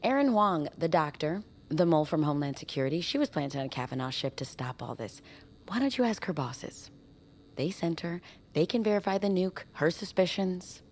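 A woman's voice: lowish voice, big pitch swings, normal speed, a little mellow. A woman acting as a weary, guilt-ridden explorer with a wry streak in a naturalistic audio drama. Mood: wry, defiant.

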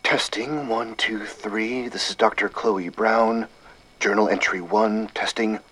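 A man's voice: fairly low pitch, fairly even intonation, takes it slowly, a bit bright, slightly rough, voice pushed up, full character voice, poor audio. A man voicing an earnest, wry woman scientist dictating a chatty, precise audio diary in an audiobook story. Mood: testing, tentative.

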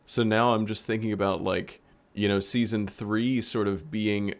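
Low-voiced man hosting a podcast. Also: fairly even intonation, normal speed. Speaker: podcast host and show creator. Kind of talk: casual roundtable chat.

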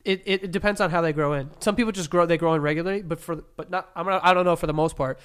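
A man's voice: a little high, fairly even intonation, very fast, clear, resonant tone. Congested, nasal young man. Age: late 20s. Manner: laid-back, crude joking. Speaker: podcast co-host. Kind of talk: casual chat.

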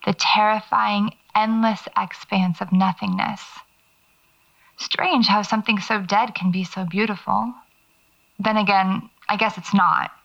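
Pensive, wry female horror writer, reflective diary monologue, gravelly fry, dulled cassette sound. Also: medium pitch, fairly even intonation, measured pace, a bit bright, lo-fi sound. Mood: pensive, wistful. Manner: musing, reflective. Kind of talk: audio drama monologue.

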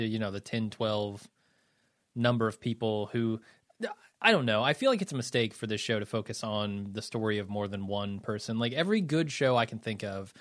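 A man's voice: fairly low pitch, a bit bright, no room sound, clean studio sound. A man co-hosting a casual podcast, easygoing and thinking aloud. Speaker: podcast co-host.

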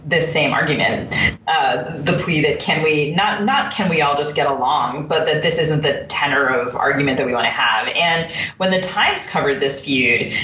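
A woman's voice: low voice, fairly bright tone. A woman podcast host talks in a hesitant, conversational way, qualifying her points as she goes. She sounds set back from the mic in an echoey room, with a slightly dull tone. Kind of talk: casual discussion. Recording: roomy, distant, muffled, noisy connection.

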